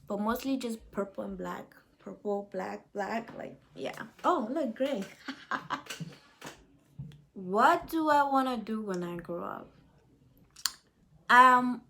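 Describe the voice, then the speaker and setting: A woman's voice: medium pitch, a bit bright. A woman in her twenties from El Salvador, chatting casually to her viewers in a question-and-answer vlog, with lots of fillers and a direct "you guys" manner. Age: 20s. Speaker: vlogger.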